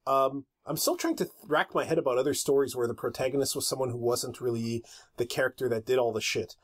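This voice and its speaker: A man's voice: medium pitch, quick pace. A man hosting a podcast in casual, rambling banter, with a jokey, mock-indignant way of talking. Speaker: podcast host.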